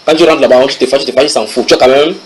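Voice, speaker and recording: A man preaching a sermon to a congregation, reading English passages aloud and translating them with lively asides to his listeners. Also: mid-range voice, rapid-fire, low-quality recording. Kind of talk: sermon teaching.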